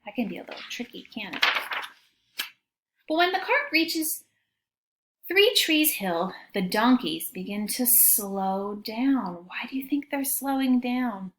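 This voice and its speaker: A woman teacher reading a picture book aloud to children in an expressive way, pausing to ask them questions. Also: on the high side. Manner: expressive, child-directed, often asking questions.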